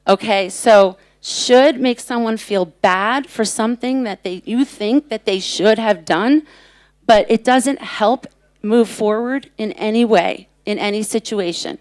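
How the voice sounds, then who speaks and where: A woman's voice: mid-range voice, measured pace, a little mellow, no room sound. A woman who runs children's workshops, speaking earnestly and emphatically on a conference panel. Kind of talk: panel talk. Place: conference stage.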